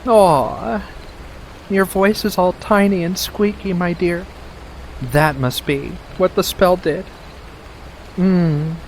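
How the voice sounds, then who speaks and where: An ASMR performer voicing a doting, playful, sweetly possessive witch in a soft-spoken roleplay, cooing and coaxing with hums and pet names, over a noisy background. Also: mid-range voice, takes it slowly, pauses often. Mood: cooing, delighted.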